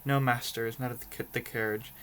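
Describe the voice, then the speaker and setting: A man's voice: medium pitch, fairly even intonation, a little fast, fairly bright tone, lightly acted. Man reading as an earnest faithful servant, noisy audio. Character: devoted male servant, earnest and faithful to his master. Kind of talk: storybook read-aloud.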